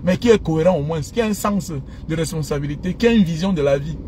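A man in his thirties with a Cameroonian French accent, ranting informally to his followers in an animated, indignant, mocking way from inside a car. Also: fairly high pitch, quick pace. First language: an African mother tongue. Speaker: social media commentator. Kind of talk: impassioned informal rant to followers. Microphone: phone at arm's length. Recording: noisy car interior with road traffic.

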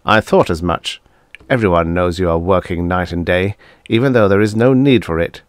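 A man's voice: low-pitched, normal speed, no echo, lightly acted. A man voicing a stout, jovial older male professor who talks in a hearty, overbearing way, chuckling and pushing his point.

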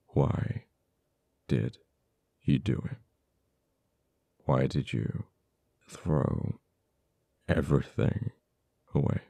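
A man acting an uptight, cold and bitter government official in an ASMR roleplay, with halting, dramatic delivery. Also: warm tone, dry recording, heavily acted. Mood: stern, pleading. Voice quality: very deep, gravelly. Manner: halting, teasing, dramatic pauses and repeated words.

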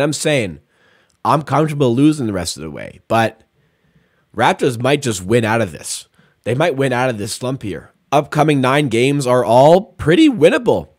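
A young man hosts a post-game show from a small room at home, working through the box score in a relaxed, chatty way. His voice sits at a middling pitch. He speaks into a close studio mic with a dry sound. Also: dry recording. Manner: rattles off stats, fillers like "like" and "uh". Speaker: sports stream host. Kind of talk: casual post-game breakdown. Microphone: studio mic on boom arm, close.

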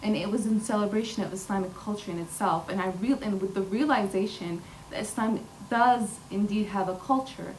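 A woman's voice: medium pitch, brisk, hardly any pauses, a little mellow, a little hiss or hum. A young woman giving an earnest personal talk from a podium, with frequent fillers and restarts. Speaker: featured artist speaker. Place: large indoor hall with glass wall. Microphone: podium mic. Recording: distant room mic, faint hall ambience.

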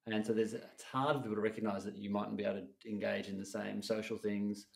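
A man's voice: lowish voice, level tone, fast, creaky, echoey room. A man, hosting a podcast interview, supportive and prompting his guest with short replies. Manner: supportive, frequent short backchannels. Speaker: podcast host. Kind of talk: interviewing, active listening. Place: bright studio room, indoors. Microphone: desk mic on stand.

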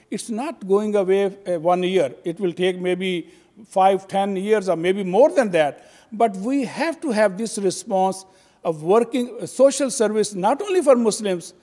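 A man's voice: sits high, darkish tone. An older man giving a convention talk at a stand mic, hesitant and full of fillers and restarts. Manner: hesitant, many fillers and restarts, gesturing while speaking. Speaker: convention speaker. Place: convention hall. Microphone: stand mic at a podium. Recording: long hall reverb.

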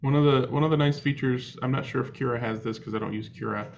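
A man's voice: mid-range voice, gravelly, slight background noise. Man explaining over dull call audio. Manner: explanatory, measured, laughs easily. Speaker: host of a hobby video chat. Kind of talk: casual video call chat. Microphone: video call.

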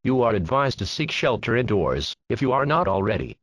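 A synthetic man's voice reads an official emergency warning. It has a middle pitch and a formal, impersonal delivery. Every phrase is scripted announcement speech. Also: big pitch swings, a little fast, very noisy.

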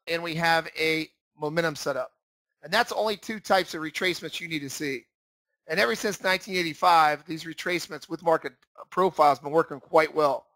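A man's voice: fairly high pitch, a bit bright, no echo, poor audio. A man giving an instructional screen-share narration as a trading teacher, explaining emphatically and repeating his key points.

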